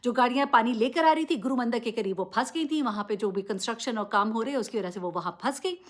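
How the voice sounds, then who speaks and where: A woman's voice: a little high, animated, quick pace. A woman giving unscripted news commentary, addressing listeners directly and emphatically with rhetorical questions. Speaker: vlogger presenting news commentary.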